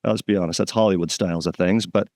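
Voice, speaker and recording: A man's voice: low voice, fairly even intonation, fast talker, darkish tone, dry recording, clean studio sound. A man hosting a dental podcast, upbeat and friendly in his introductions and questions. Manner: upbeat, friendly, self-deprecating. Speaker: podcast host.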